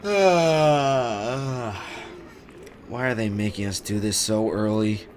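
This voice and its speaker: Man acting a low, slow, sardonic teenage boy. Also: a little hiss or hum. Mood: sardonic grumbling. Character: sardonic, grumbling teenage boy hero trainee.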